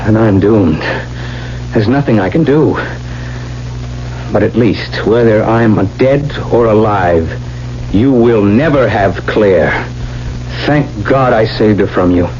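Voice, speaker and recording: Anxious doomed gentleman, vintage radio. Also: lowish voice, slow, pauses often, slight background noise. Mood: desperate, accusing. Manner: earnest, dramatic, tense narration. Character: anxious, doomed young gentleman telling his own tale. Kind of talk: 1970s radio drama acting. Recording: dull vintage radio recording, music behind.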